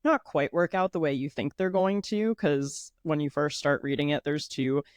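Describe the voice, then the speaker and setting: A woman's voice: low voice, moderate pace, darkish tone, no room sound, studio quality. A woman in her thirties hosting a casual solo podcast, chatty and upbeat, sounding a little congested. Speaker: podcast host.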